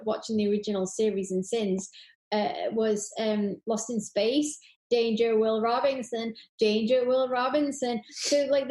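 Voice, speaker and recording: A woman with a fairly high voice talks in a roomy, echoey space. She chats casually and enthusiastically, rambling from one thought to the next and gushing as she goes. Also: a little mellow. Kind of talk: casual chat.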